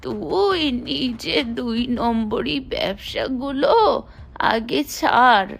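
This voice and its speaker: A woman's voice: a little high, takes it slowly, gravelly, heavily acted. A woman voicing a defiant, scolding old village woman in a Bengali cartoon. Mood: indignant, scolding. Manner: scolding, indignant.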